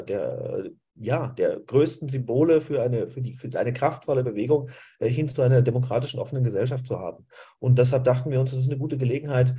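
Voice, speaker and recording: A man's voice: mid-range voice, mellow, slightly rough. A middle-aged man speaking earnestly as an activist guest on a video call, with frequent fillers. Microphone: laptop or webcam mic on a video call.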